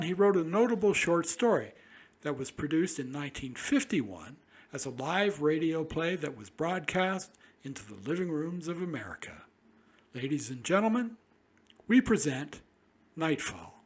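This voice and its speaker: A man's voice: a little high, sing-song, normal speed, husky. A man hosting a classic-radio program, reading a formal scripted introduction. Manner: formal, announcer-like. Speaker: host.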